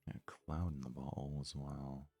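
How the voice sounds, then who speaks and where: A man giving casual ASMR let's play commentary in a very soft, hushed, breathy voice, murmuring his thoughts aloud without hurry. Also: rumbling low, flat delivery, dry recording, faint music behind. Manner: unhurried, murmured thinking aloud. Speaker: gaming video host.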